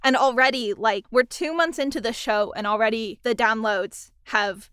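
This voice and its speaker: A woman's voice: on the high side, normal speed, a bit bright, clean tone, dry recording, clean studio sound. A woman chatting casually as an interviewer and fellow producer.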